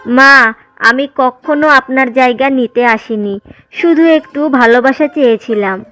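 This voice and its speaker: A woman's voice: sits high, a little slow, sharp tone, faint music behind. A woman playing a meek, dutiful young daughter-in-law in a village cartoon drama. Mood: hurt, meek. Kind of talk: Bengali cartoon drama dialogue.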